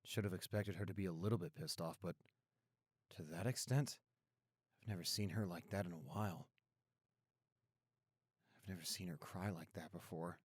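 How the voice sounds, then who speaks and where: Guy doing a sorry young boyfriend, deep voice, soft reassuring way of talking, intimate audio roleplay, really dry sound. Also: normal speed, pauses often, husky, dry recording. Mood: troubled, brooding. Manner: earnest, gentle, reassuring. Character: apologetic, caring young boyfriend soothing his upset girlfriend.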